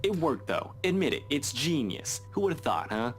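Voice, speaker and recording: A man's voice: mid-range voice, very animated, moderate pace, close to an everyday voice. An actor playing a guarded, dry young male police investigator in a visual novel game. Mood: defensive.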